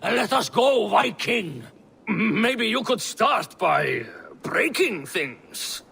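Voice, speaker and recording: An actor playing a lusty, boastful and excitable young Viking husband in a video game. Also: male voice, high voice, extremely slow, gravelly, breathy edge, heavily acted. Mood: surprised, thrilled.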